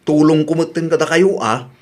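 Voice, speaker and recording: A man's voice: a little high, fairly even intonation, moderate pace, a little mellow, natural voice. Man acting a dutiful young Ilocano son. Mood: concerned, helpful. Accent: Ilocano Filipino. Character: helpful, dutiful grown son. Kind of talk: radio drama acting in Ilocano.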